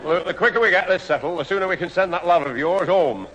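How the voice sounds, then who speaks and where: A man playing an exasperated, slow-witted police constable in a 1970s radio sitcom. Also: high voice, fairly bright tone, muffled recording. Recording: reverberant, noisy old radio hall recording.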